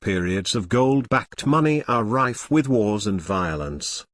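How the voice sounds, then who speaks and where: Man narrating, earnest and varied in pitch. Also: animated, measured pace, dry recording, studio quality. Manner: earnest, didactic, formal. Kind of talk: scripted explainer narration.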